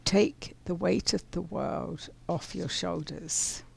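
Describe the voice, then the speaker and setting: Slow, gravelly-voiced woman reading cards. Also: lowish voice, a little mellow. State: scratchy voice from pollen. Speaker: spiritual card reader. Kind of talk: casual live oracle card reading.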